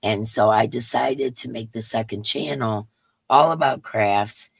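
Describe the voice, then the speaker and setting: A woman with a raspy voice hosting a casual livestream in her yarn room, greeting chat viewers by name in a sing-song way and sounding emotional. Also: extremely low pitch, unhurried, dry recording. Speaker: livestream host.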